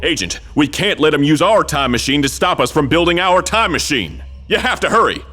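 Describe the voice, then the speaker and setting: Earnest major type from a spy game, melodramatic briefing voice, pitch moves around a lot. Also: male voice, expressive, brisk, pushed and bright, dry recording, faint music behind. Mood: urgent. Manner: solemn, melodramatic mission-briefing delivery. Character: earnest, pompous male military commander of spy agents. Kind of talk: tongue-in-cheek spy game acting.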